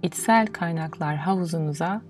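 A woman with a fairly low voice leads a guided relaxation meditation. She speaks in a soothing, measured manner, pausing between phrases, with music clearly behind her. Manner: slow, soothing, measured delivery with pauses. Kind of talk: guided yoga nidra meditation, instructional.

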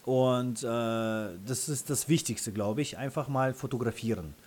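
A man with a Russian accent, a native Russian speaker, answering interview questions casually in halting, self-correcting phrases. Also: medium pitch, darkish tone, husky. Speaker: interview guest, freelance photographer and videographer.

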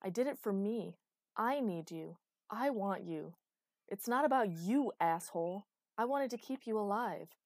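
A woman's voice: medium pitch, a little fast, hardly any pauses, mellow, dry recording, clean studio sound, pitched above the performer's own voice, close to an everyday voice. A woman voicing a small, desperate, defiant male smith who is lovelorn, in a storybook narration.

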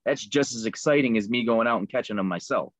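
A man's voice: mid-range voice, quick pace. A man guest talking casually about the fishing lures he makes, easygoing, with many ums and fillers. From Liverpool, New York. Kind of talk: casual interview chat.

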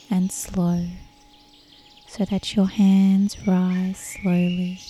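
Slow, soothing woman guiding meditation. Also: mid-range voice, a little hiss or hum. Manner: soothing, measured, with long pauses. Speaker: meditation guide. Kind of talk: guided meditation narration. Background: soft meditation music with birdsong.

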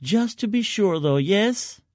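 A man voicing a female military medic in an audio story, gentle, earnest and concerned as she probes. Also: sits high, animated, slow pace, dry recording, studio quality, voice pushed up.

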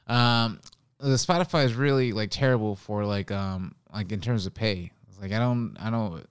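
A man's voice: on the low side, normal speed, clean tone. A young man chatting casually as a podcast guest, rambling and slangy. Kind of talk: casual chat.

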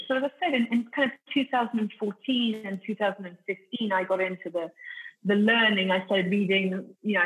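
A woman's voice: medium pitch, fairly bright tone, husky, rough recording. A middle-aged woman from Italy, raised in the UK, explaining things articulately as an interview guest. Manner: articulate, explanatory, with frequent "you know" and restarts. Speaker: interview guest, company founder. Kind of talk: interview answers.

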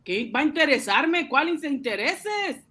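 A man acting a simple, excitable, poor ice-cream vendor and husband in a radio serial, with folksy, naive exclamations. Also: very high-pitched, measured pace, full character voice. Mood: naive puzzlement.

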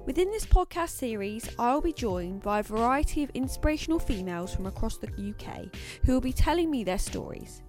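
Lively woman podcast host over faint music. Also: fairly high pitch. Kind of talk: scripted podcast introduction.

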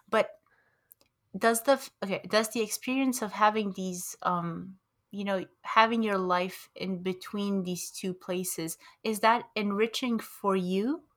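A woman's voice: mid-range voice, slow, a bit bright. A young woman co-hosting a podcast, playful and curious, asking probing questions in casual talk. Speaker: podcast co-host.

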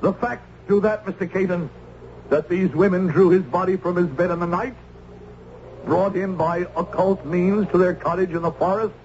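An actor playing a stern, righteous Puritan reverend in an old-time radio drama, speaking in formal, archaic, moralizing phrases. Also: male voice, high voice, fairly even intonation, moderate pace, a little mellow. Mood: stern, indignant. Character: stern zealous Puritan reverend, righteous witch-hunting preacher. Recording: muffled, noisy old radio broadcast.

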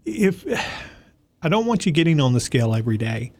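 A man's voice: on the high side, very animated, a little fast, a little mellow, dry recording. A man hosting a casual talk show, stuffy from allergies, giving folksy, encouraging advice straight to a listener. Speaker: host.

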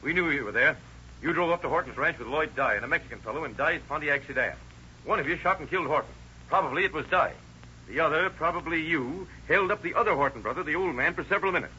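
An actor playing a tough, confident police detective in a 1930s radio crime drama, brusque and authoritative. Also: male voice, on the high side, fast talker, sharp tone. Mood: accusing. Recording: dull, hissy old radio recording.

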